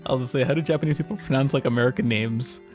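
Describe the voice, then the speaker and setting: A man's voice: medium pitch, rapid-fire, over music. A man in casual gaming chat, reading the story aloud and breaking off to joke and swear. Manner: jokey, informal, swearing. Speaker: gaming video co-host. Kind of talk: casual chat and reading aloud while playing a visual novel.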